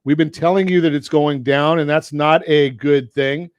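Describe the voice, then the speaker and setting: Male radio host, higher pitch, dry close studio sound, energetic and jokey. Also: normal speed, smooth, no room sound, muffled recording. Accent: Southern Californian. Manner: energetic, jokey, asks leading questions. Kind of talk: talk radio with a sponsor read. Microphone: studio mic.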